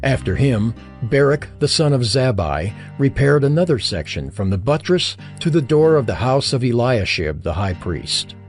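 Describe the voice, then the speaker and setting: Expressive solemn male scripture narrator. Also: mid-range voice, moderate pace, hardly any pauses. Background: background music.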